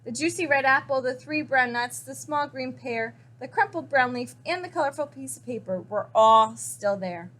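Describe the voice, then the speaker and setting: High-voiced woman reading a children's story. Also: moderate pace, few pauses, fairly bright tone. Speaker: story reader. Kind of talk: expressive children's picture book read-aloud.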